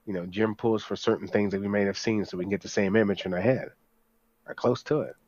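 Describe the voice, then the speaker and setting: A man's voice: on the low side, fairly even intonation, fast, a little mellow. A man chatting casually as a podcast panelist, interjecting and repeating his words, on dull audio. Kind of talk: casual chat.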